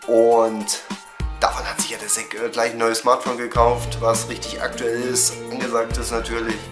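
A man's voice: medium pitch, monotone, normal speed, echoey room, over music. A young man in his twenties with a cold, casually recapping the week for his fan channel on a webcam at home, full of fillers. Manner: chatty, many fillers, talks straight to camera. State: has a cold, voice sounds off. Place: small room at home.

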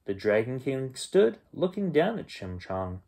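A middle-aged man reads a children's bedtime story aloud as its host, with expressive storytelling. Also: mid-range voice, measured pace, gravelly. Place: small bedroom at home, indoors.

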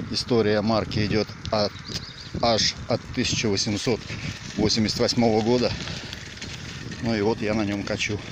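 A man casually chatting on a bicycle ride along a village road, rambling with lots of slang and fillers, recorded on a phone mounted on the handlebars. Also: fairly low pitch. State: riding a bicycle while talking. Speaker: vlogger. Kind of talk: casual first-person ride commentary. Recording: loud wind noise on the mic.

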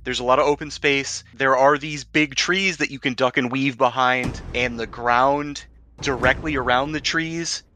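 A man's voice: medium pitch, fairly even intonation, unhurried, pushed and bright, a little hiss or hum. A man running a tabletop game as game master, explaining things in a conversational way. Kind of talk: narrating and refereeing a tabletop game. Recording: music bed under the voice. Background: prominent background music.

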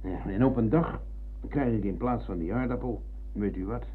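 An actor playing a proud, touchy older comrade and former prisoner of war, insistent and emphatic, in a Dutch radio drama. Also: male voice, on the low side, moderate pace, breathy edge. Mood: reminiscing, eager. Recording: muffled, dull old radio recording.

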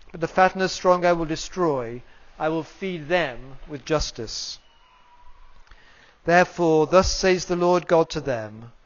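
Guy reading the bible lesson, fairly high voice, steady, sounds far off and dull. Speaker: lay reader. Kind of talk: scripture reading. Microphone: far camera. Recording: distant, dull lo-fi camera audio.

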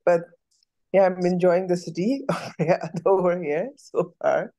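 A man's voice: high-pitched, measured pace, no room sound, dull audio. A man from Pakistan, casually introducing himself on a video call with many ums. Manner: relaxed, hesitant, many ums. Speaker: alumni participant.

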